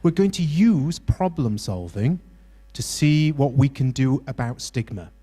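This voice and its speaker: British male facilitator, mellow, encouraging, halting delivery, faint mains hum. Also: mid-range voice, expressive, breathy edge. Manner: encouraging, halting with many restarts. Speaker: workshop facilitator. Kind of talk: interactive workshop teaching. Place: university hall workshop.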